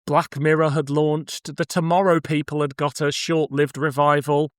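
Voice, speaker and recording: A man's voice: a little high, flat delivery, normal speed, no echo, studio quality. A man speaking as a podcast host, chatting casually and introducing items for review.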